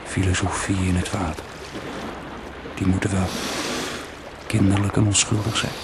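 Man acting stubborn swimmer, low pitch, self-reasoning monologue, wry philosophising, radio drama, loud noisy background. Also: flat delivery, moderate pace, lightly acted. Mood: self-persuading, musing. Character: stubborn musing swimmer, man talking himself onward at sea. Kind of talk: radio play inner monologue. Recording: very noisy old radio play recording.

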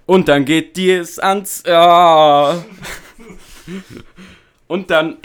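A man rehearsing lines as an amateur performer, breaking off between loud theatrical takes and casual chat. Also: high-pitched, big pitch swings, extremely slow, creaky, clean tone.

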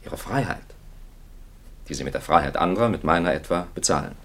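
An actor playing a weary, principled priest in a 1950s radio play, impatient and plain-spoken, with dry, earnest retorts. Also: male voice, sits low, expressive, fairly quick, a bit bright. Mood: impatient, reproachful.

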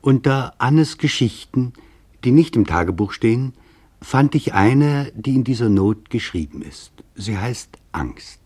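An actor as a sober investigator narrating his interviews in a scripted radio documentary drama, measured and grave, with a husky voice. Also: mid-range voice, animated, darkish tone.

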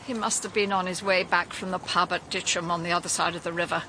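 A woman's voice: lowish voice, fairly even intonation, quick pace, lightly acted. An actress playing a genteel, matter-of-fact older woman in a radio drama, with an English accent and a composed manner. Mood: resigned. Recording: outdoor sound effects, clear background noise.

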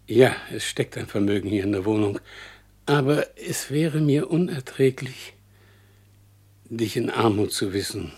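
An actor playing a hurt, gentle rich lover in a radio play, husky and halting as he pleads. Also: mid-range voice, animated. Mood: pleading, sorrowful. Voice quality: husky, breathy. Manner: slow, halting, subdued. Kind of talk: German radio play acting.

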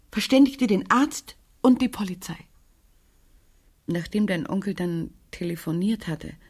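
An actress playing a troubled, guarded middle-aged widow in a radio crime drama, speaking in halting, dramatic phrases with a husky voice. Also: female voice, medium pitch, darkish tone, full character voice. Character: troubled, guarded widow confiding in a young neighbour girl.

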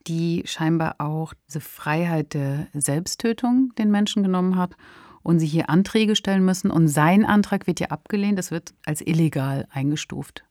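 Low, mellow-voiced woman. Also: moderate pace, dry recording, studio quality. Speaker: podcast co-host. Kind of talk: conversational podcast commentary.

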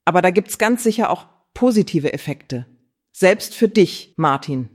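A performer playing a patient, gently persuasive companion in a radio play, articulate and gently pointed. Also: mid-range voice, expressive, measured pace. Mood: coaxing.

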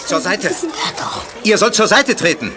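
A man's voice: high voice, big pitch swings, unhurried, a loud crowd, very noisy. An actor playing a stern, commanding school principal and father in a children's audio drama. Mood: barking, angry. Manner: strict, commanding. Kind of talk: 1980s German children's radio play acting.

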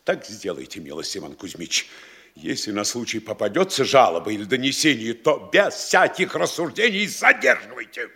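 An actor playing an anxious, scheming town mayor with a husky voice, in a stage radio play. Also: male voice, medium pitch, sing-song, normal speed, bright, forceful tone, exaggerated voice. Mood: fretful. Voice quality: husky, fretful, conspiratorial.

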